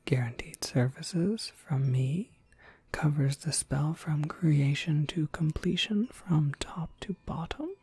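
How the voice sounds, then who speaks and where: A woman playing a courteous, businesslike witch shopkeeper in a soft, measured ASMR whisper. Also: low voice, husky, dry recording, heavily acted. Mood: patient, explanatory. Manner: measured, polite, gently explanatory.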